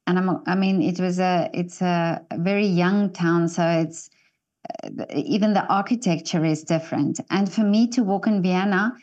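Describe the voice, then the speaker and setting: A woman from South Africa hosting an interview, speaking hesitantly with many pauses and restarts. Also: lowish voice, steady tone, a little mellow, dull audio. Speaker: interview host. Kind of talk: interview conversation.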